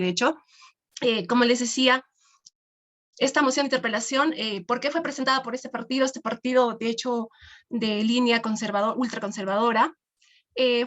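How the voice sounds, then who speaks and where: A woman from Peru with a Peruvian accent, giving an academic research presentation over a video call, halting, with many "este" fillers and tag questions. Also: on the high side, a bit bright. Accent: Peruvian Spanish.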